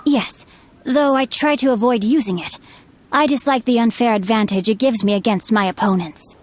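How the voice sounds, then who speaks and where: A woman's voice: a little high, normal speed, no echo, lightly acted. A woman voicing a bookish, composed young nobleman swordsman in a video game, speaking formally and eloquently over music. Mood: reflective. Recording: music behind the voice.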